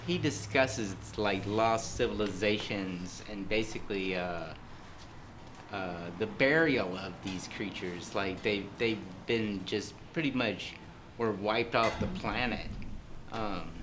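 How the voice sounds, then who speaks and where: A man in the audience speaks in a low voice at a very slow pace, halting often with "um" and "like". The room noise around him is clearly audible. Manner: halting, full of fillers like "um" and "like". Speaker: audience member. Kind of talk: casual comment from the audience. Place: community room.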